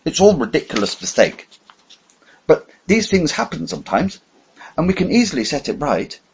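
A man voicing a plump younger gentleman who speaks soothingly but picks his words with a slight stammer. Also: on the high side, sing-song, moderate pace, creaky, muffled recording, slight background noise, pitched above the performer's own voice. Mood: reassuring.

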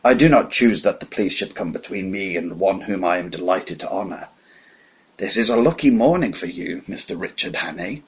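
A man voicing a smooth, menacing old gentleman villain who speaks quietly and with assurance, on a dull lo-fi recording. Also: sits low, expressive, normal speed, heavily acted. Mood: calm.

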